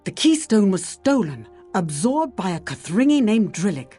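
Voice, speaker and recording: A woman playing an earnest informant in a fantasy game, with a husky, soft tone. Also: medium pitch, sing-song, moderate pace, soft background music.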